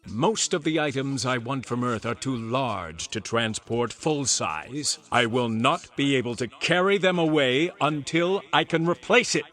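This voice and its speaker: A man voicing a pompous, greedy alien villain in golden armor for a children's audiobook, deliberate and imperious. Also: mid-range voice, lively intonation, a bit bright, soft background music. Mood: cold, calculating.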